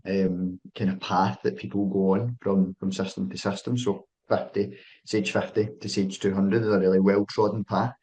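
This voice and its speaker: A young man with a Scottish accent and a very deep voice talks through a sales webinar on a video call. He fills gaps with "um", doubles back on his words, and keeps a friendly, chatty tone. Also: flat delivery, moderate pace, a little mellow, low-quality recording. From Scotland. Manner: filler-heavy, restarts phrases, friendly sales tone. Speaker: webinar presenter. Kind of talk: business webinar presentation.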